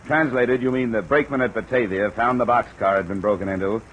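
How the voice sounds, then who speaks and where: An actor playing a brisk, businesslike insurance investigator who narrates and questions in hard-boiled old-time radio style. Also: male voice, on the low side, fairly bright tone, close to an everyday voice. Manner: clipped, businesslike, dry. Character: cool, brisk male freelance insurance investigator. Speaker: lead character and narrator. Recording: muffled, faintly noisy old radio recording.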